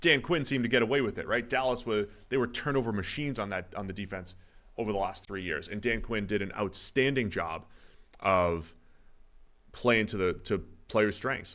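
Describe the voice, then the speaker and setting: A man's voice: fairly low pitch, a bit bright. A man co-hosting a sports podcast, thinking aloud through football analysis in a loose, conversational way. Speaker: co-host. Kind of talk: casual sports analysis chat.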